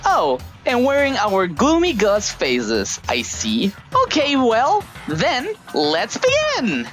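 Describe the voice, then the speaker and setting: High, wildly swooping villainous bear mascot. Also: female voice, huge pitch swings, takes it slowly, a bit bright, soft background music, heavily acted. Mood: gleefully menacing. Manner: theatrical, taunting, cackling. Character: gleeful, mocking villainous robot bear mascot. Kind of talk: anime game fandub voice acting.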